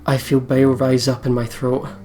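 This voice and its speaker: A woman's voice: extremely low pitch, level tone, normal speed, dark tone. A woman hosting a let's play, reading a visual novel aloud in a dramatic, reflective manner. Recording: game music behind.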